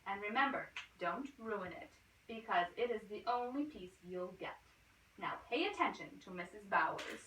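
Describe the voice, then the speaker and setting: A woman's voice: medium pitch, expressive, moderate pace, bright, forceful tone. A woman voicing a strict storybook woman classroom teacher who announces rules in an admonishing way. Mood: strict, announcing. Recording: roomy, distant microphone, faint noise.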